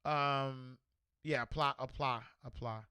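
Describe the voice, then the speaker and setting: A man with a New York accent hosting a livestream, loudly and energetically answering viewer comments in casual chat. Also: mid-range voice, slow pace, clear, resonant tone. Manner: loud and energetic, reads comments aloud. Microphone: desk microphone.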